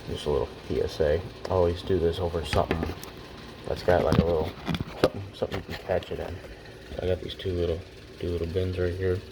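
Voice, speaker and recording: A man's voice: sits low, little pitch movement, fast talker, very noisy. A man giving a casual hands-on how-to as an amateur hobbyist, muttering to himself in short fragments as he works. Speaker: hobbyist demonstrating electronics repair.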